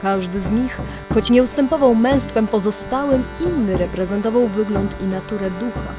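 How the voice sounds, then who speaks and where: A woman narrating a scripted audio drama legend in a measured, literary storytelling manner, with deliberate pauses. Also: mid-range voice. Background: music.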